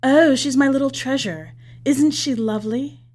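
A woman's voice: high voice, moderate pace, a little mellow, voice pushed up. A woman voicing a proud male envoy who gushes and boasts in a read-aloud story. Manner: gushing, boastful.

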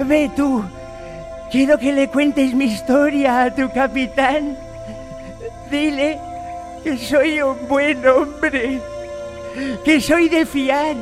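An actor playing a wary, eager old castaway pirate in a Spanish radio drama, halting and repeating himself, with a Castilian accent. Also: male voice, extremely high pitch, music in the background, full character voice. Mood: eager, pleading. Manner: halting, repeats words, excitable.

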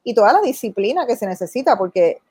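Woman podcast-style host, highish voice, dry room, explains and asks stuff informally, rewords her questions a lot. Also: sing-song, fast talker, a little mellow, slightly rough, dry recording. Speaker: interviewer, host. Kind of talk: conversational interview.

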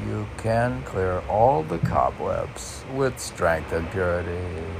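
Low, gravelly man musing slowly over poetry. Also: background noise. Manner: musing, hesitant, thinking aloud. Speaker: poet reading and musing on his own poems. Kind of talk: casual rambling commentary between read-aloud lines of poetry.

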